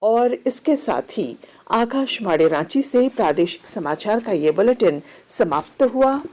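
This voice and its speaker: A woman reads a scripted news bulletin as a radio newsreader, in a formal, measured manner. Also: medium pitch, lively intonation, a little mellow.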